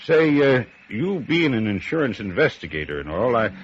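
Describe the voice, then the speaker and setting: A man's voice: mid-range voice, sing-song, moderate pace, natural voice. An American man playing a folksy, curious pier booth operator in a 1950s radio drama, chatty and hesitant in colloquial speech. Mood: guarded, chatty. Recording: dull, noisy old radio recording.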